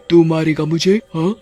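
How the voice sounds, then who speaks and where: A woman voicing a wicked, cunning witch who taunts and cackles in an animated horror story. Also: medium pitch, breathy edge, heavily acted. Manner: taunting, cackling between phrases.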